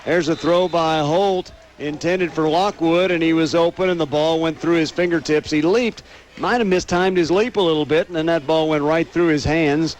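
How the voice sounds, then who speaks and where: A man's voice: fairly high pitch, steady tone, normal speed. An American man doing live radio play-by-play commentary of a football game.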